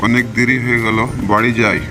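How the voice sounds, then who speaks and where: Casting: generous middle-aged village uncle for a cartoon fable male actor, mid-pitched, rustic and fatherly, music underneath. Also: little pitch movement, quick pace, lightly acted. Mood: friendly, reassuring. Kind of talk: Bengali cartoon moral-story acting.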